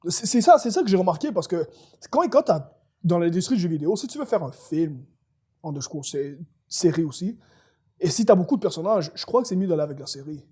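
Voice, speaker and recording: Excitable dude on a podcast, kinda high voice but mellow, says yo and bro a lot. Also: male voice, fairly quick, slightly rough. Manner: excitable, slangy, mixes in English. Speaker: podcast co-host. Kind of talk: casual chat.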